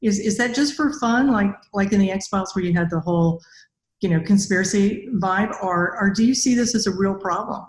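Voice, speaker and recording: Echoey room, woman, fifties, American accent, casual podcast host, medium pitch. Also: moderate pace. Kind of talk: casual interview hosting. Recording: roomy, echoey sound.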